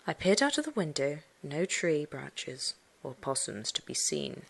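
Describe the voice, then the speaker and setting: Animated woman narrator, low-pitched voice. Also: measured pace, gravelly, background noise. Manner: expressive, suspenseful, dropping into hushed whispers. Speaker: audiobook narrator. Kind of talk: dramatic first-person storybook narration for children.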